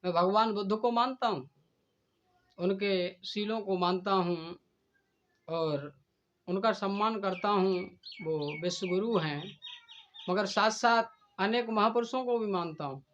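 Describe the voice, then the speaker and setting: A man delivering a stern, deliberate admonishing speech in a preachy manner. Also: high voice, fairly bright tone. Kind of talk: admonishing speech addressed to a rival. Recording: dull, lo-fi recording.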